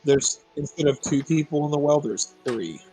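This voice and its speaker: A man's voice: fairly high pitch, expressive, normal speed, dark tone, breathy edge, soft background music, low-quality recording. A man playing an online tabletop game, chatting and joking casually. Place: online voice call.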